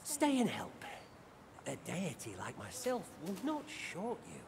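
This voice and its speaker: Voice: mid-range voice, wildly swooping pitch, measured pace, vocal fry, noisy background, heavily acted. An actor voicing a self-important deity in a video game, speaking in archaic, formal words. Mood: stately.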